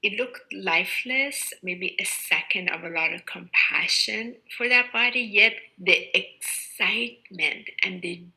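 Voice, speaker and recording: A woman reverend slowly and calmly telling the story of her near-death experience. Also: medium pitch, poor audio. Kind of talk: slow personal testimony.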